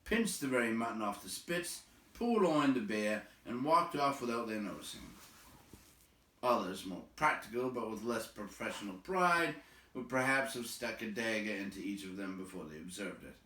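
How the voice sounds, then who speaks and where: A man reading a storybook aloud as an expressive narrator. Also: lowish voice, moderate pace, reverberant, distant mic, poor audio. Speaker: storybook reader.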